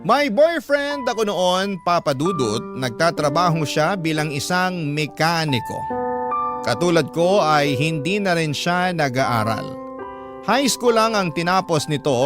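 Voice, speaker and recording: A man narrating a listener's letter in the first person as a radio storyteller. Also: on the high side. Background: instrumental music.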